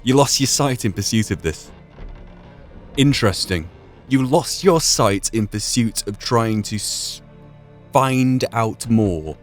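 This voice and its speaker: A man's voice: mid-range voice, lively intonation, slow, soft background music. A man giving in-character commentary on a video game, musing aloud and rephrasing his own thoughts. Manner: musing, repeats and rephrases himself. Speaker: gaming video host.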